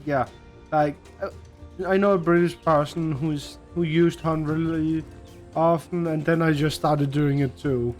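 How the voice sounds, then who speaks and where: Higher-voiced man searching for words. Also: steady tone, a little slow, darkish tone, soft background music. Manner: gropes for words, trailing off. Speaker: streamer. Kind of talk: casual chat during a game stream.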